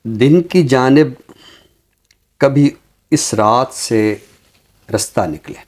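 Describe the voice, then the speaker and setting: A man speaking as a commentator on a literary show, in a deliberate, reflective way with emphatic phrasing. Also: mid-range voice, a little mellow. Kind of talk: reflective commentary and Urdu poetry recitation.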